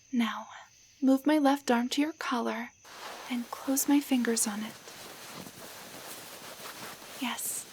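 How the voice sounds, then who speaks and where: A woman playing a shy, grateful young waitress turned into a tiny doll in an intimate roleplay audio drama, speaking softly and haltingly, often near a whisper. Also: a little high, slight background noise. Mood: hesitant, trusting. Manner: hesitant, halting, stammering.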